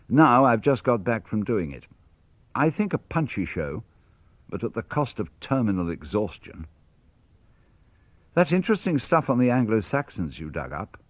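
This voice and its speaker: A man reads letters aloud in a low voice that rises and falls with great animation. His phrasing is measured and crisp, every clause carefully placed. The studio sound is close and completely dry. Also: no room sound. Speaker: reader of letters. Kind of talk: dramatic reading of letters for radio.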